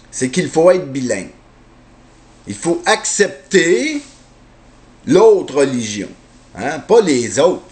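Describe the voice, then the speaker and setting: A man's voice: mid-range voice, a little mellow. A man with a Québécois French accent delivering a heated, sarcastic opinion monologue and repeating rhetorical questions. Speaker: commentator.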